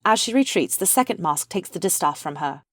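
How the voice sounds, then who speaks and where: A woman's voice: lowish voice, animated, brisk, dry recording, studio quality. A woman reading the stage directions of a play as scripted narration.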